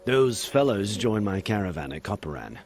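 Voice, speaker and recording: A man's voice: fairly low pitch, very animated, moderate pace, a little mellow. An actor playing a courteous, grave male caravan leader telling his account in a fantasy video game. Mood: grave, grateful.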